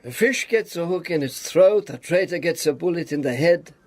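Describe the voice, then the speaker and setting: A man acts out a weary Eastern Bloc spy in a radio play, his fairly high voice swinging widely in pitch. He speaks in wry, deliberate, clipped phrases, a battered survivor with affection under the sarcasm. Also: lively intonation, natural voice. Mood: bitter, reflective. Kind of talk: radio drama acting.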